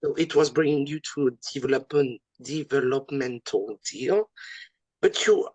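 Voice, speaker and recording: A woman's voice: very deep voice, normal speed, a little mellow, no room sound, rough recording. A woman with a French accent interviewing a guest in casual talk, with many fillers and restarts.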